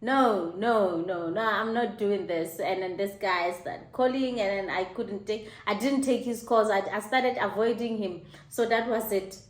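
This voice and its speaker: A middle-aged South African woman hosting her own show, telling a personal story in an animated, laughing way. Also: unhurried, few pauses. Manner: animated, laughing, high-pitched mock exclamations. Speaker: host. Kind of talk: casual storytelling to camera. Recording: echoey room.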